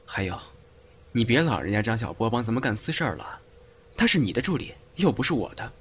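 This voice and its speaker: A man's voice: medium pitch, very animated, fairly quick, fairly bright tone, husky, exaggerated voice. A man playing a breezy, cocky young lover who scolds affectionately in an amateur audio drama, on a hissy home recording. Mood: grumbling.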